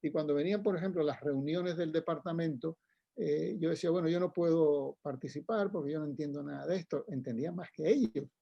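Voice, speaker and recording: A man's voice: on the high side, a little fast, gravelly, no echo, lo-fi sound. An older man, a poet and retired professor, speaking casually and digressively at an online book launch. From Cuba. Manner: chatty, digressive, frequent laughs and tags like "¿no?".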